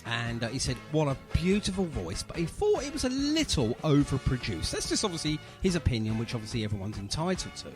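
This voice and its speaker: A man's voice: fairly high pitch, sing-song, a little fast, hardly any pauses, over music. A man hosting a casual radio interview, chatting in a jokey, effusive way. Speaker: radio show host.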